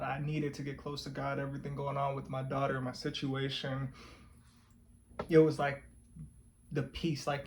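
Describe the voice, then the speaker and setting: A man's voice: mid-range voice, creaky, a little hiss or hum. A man in his twenties chatting casually and candidly with his girlfriend, swearing freely. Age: 20s. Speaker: co-host of couples channel. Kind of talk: casual couple chat.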